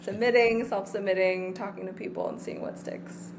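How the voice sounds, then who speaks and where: A person in their twenties from Taiwan, chatting casually as an interview guest, relaxed and rambling with lots of fillers. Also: female voice, mid-range voice, moderate pace, gravelly, noisy background. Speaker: guest actor.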